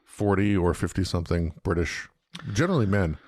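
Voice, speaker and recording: A man's voice: low-pitched, animated, fairly quick, a little mellow, dry recording. A man with a Canadian accent, co-hosting a podcast in casual chat. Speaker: podcast co-host.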